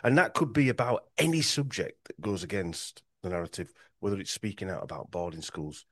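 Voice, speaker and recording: Yorkshire male guest, low register, rambling podcast talk, dry booth sound. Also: animated, brisk, a bit bright, no room sound. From Yorkshire, England. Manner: rambling with frequent restarts and stumbles. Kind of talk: podcast conversation.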